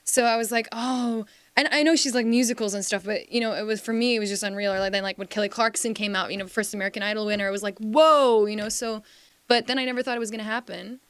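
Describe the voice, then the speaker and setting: A woman's voice: a little high, fairly even intonation, fast, a bit bright, clear, resonant tone. A young woman from Slovakia chatting as a singer guest in a radio studio, talking in long rambling runs full of fillers and "like"s. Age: young adult. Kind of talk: casual interview chat. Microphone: studio microphone.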